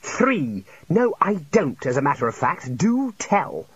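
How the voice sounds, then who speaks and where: A man's voice: on the high side, lively intonation, a little fast, slightly rough, highs cut off, pitched above the performer's own voice. A man voicing an anxious, exasperated young student wizard in an audiobook, with an earnest, strained, insistent manner. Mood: tense, snappish.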